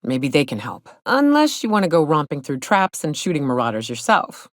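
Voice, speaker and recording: A gruff female monster hunter from a video game, pitched low and recorded very dry. She drawls in a folksy, sardonic way, like a hard-drinking trail guide who has seen plenty. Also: huge pitch swings, moderate pace, dry recording. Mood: dryly joking. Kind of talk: video game character dialogue.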